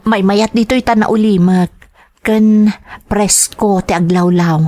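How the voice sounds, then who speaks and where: An actress playing a secretive, evasive working wife in an Ilocano family audio drama, insistent in manner. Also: female voice, mid-range voice, steady tone, unhurried, mellow, close to an everyday voice.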